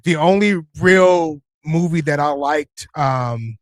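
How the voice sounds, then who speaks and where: A man's voice: on the high side, slow pace, no room sound. A man co-hosting a casual wrestling watch-along stream, chatty and opinionated, repeating himself between fillers. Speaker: co-host.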